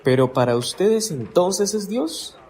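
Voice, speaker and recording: A man's voice: high-pitched, animated, measured pace, a little mellow. A skeptical older townsman. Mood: probing. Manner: probing, incredulous questions.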